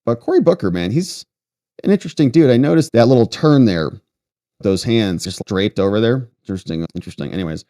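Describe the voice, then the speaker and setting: A man's voice: fairly low pitch, animated, a little fast, mellow. A man hosting a political show, giving casual livestream commentary in a mocking, sarcastic manner.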